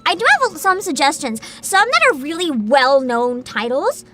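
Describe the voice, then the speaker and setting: A woman's voice: sits high, big pitch swings, moderate pace, noisy background. A woman chatting casually as a livestream host, informal and rambling, with a giggly way of talking. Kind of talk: casual livestream chat, explaining and riffing to viewers.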